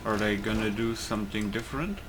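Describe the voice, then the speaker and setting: A man's voice: on the low side, fairly quick, noisy background. A man giving casual let's play commentary, rambling and thinking aloud as he plays. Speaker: gaming video host. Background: game music.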